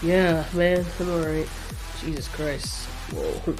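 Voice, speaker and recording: A woman's voice: deep voice, slow, darkish tone, voice pushed down, rough recording. Party-animal male kaiju played by a woman for audio drama: drunk, cocky, crude, flippant, over faint music. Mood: dazed.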